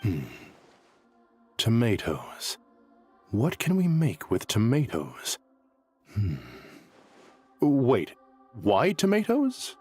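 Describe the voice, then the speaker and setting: A man's voice: mid-range voice, wildly swooping pitch, very slow, long pauses, darkish tone, slightly rough, soft background music. A man giving casual gameplay commentary, pondering aloud as a gamer.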